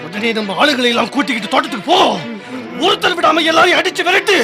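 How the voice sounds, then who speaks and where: A man playing a trusting landowner and father in a Tamil radio drama, with a commanding, expressive delivery. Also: very high voice, quick pace, over music. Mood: grief, fury.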